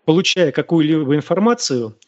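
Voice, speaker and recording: A man's voice: lively intonation, fairly quick, no echo, highs cut off. A man hosting a live radio talk show, lecturing listeners in an emphatic, scornful way. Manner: emphatic and scornful, with sharp rises in pitch. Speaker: radio host answering listener questions.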